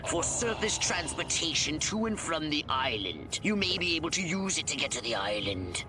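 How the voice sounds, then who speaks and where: Alien commander, game briefing, formal, clinical, lively swooping intonation, music behind. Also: male voice, a little high, moderate pace. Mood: informative. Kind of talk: video game mission briefing.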